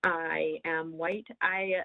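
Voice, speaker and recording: A woman's voice: deep voice, level tone, extremely slow, gravelly. A woman from the US Midwest who works in child welfare, introducing herself in a webinar. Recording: tinny phone-like line.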